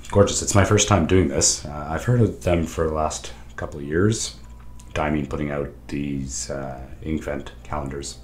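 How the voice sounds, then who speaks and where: A man in his thirties with a lost, hoarse voice, chatting excitedly and rambling through a show-and-tell vlog from his home study. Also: a bass voice, echoey room, a little hiss or hum. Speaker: stationery vlogger. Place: home study with lamps and bookshelves.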